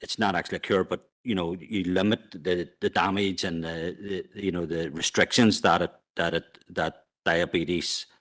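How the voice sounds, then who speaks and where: Male councillor, halting speech, deep monotone. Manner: halting, repetitive restarts. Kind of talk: speaking at a council meeting.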